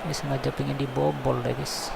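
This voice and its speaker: Gravelly chatty livestreamer man. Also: medium pitch, level tone, fast, very noisy. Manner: chatty, mixes in English, calls viewers boss. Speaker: gaming livestreamer. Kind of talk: casual livestream chat.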